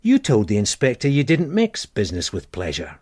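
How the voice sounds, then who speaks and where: An actor playing a blunt, dogged detective sergeant in a radio crime drama, probing and plain-spoken. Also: male voice, lowish voice, huge pitch swings, moderate pace, darkish tone, breathy edge, exaggerated voice. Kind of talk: naturalistic radio drama dialogue.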